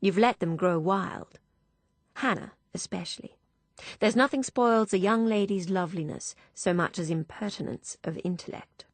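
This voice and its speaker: A woman voicing a poised aristocratic matron who speaks in cool, measured, refined reproach. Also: medium pitch, no echo, close to an everyday voice. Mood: cutting. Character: poised aristocratic matron, cutting yet genteel.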